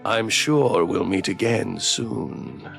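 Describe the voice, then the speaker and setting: A man voicing a calm, menacing witch in a visual novel, teasing and slyly insinuating in a slow manner. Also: low voice, gravelly, faint music behind, pitched below the performer's own voice, full character voice. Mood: veiled menace. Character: calm, menacing, mockingly curious forest witch woman.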